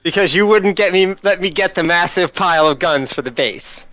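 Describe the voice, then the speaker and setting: A man's voice: high-pitched, moderate pace, fairly bright tone, dry recording, muffled recording. A man joking casually as a player in a tabletop roleplaying game, with a wisecracking manner. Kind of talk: casual joking group chat.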